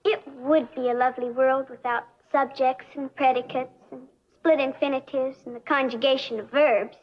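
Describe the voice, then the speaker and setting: A woman's voice: high-pitched, steady tone, moderate pace, close to an everyday voice. A child actress playing a curious, doubtful schoolgirl, earnest and wondering, in a 1950s educational film. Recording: dull vintage film soundtrack.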